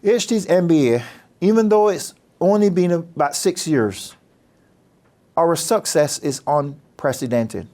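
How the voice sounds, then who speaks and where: Earnest man, fairly high voice. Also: lively intonation, warm tone. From Barbados. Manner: measured, earnest, persuasive. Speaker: business school dean. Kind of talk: promotional interview talk.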